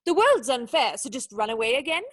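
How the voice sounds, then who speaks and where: A woman plays a young noblewoman in a radio drama, high-pitched and proud. She scolds in articulate, cutting phrases, indignant and wounded underneath. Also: very animated, fairly quick, a bit bright, heavily acted. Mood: angry, accusing. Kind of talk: radio play acting.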